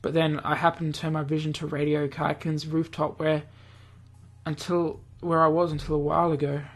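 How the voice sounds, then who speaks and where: A woman's voice: low-pitched, level tone, moderate pace. A woman reading a visual novel aloud in an amateur, offhand narrating style, sometimes stumbling over words and re-reading them. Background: game background music.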